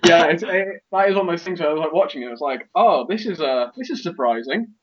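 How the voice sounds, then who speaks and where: A man's voice: fairly high pitch, expressive, fast talker, highs cut off. A man talking casually as co-host in a podcast discussion.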